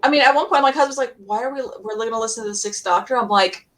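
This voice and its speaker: A woman chatting casually as a podcast panelist, telling animated anecdotes in an emphatic, gushing way and acting out remembered dialogue. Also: fairly high pitch, rapid-fire.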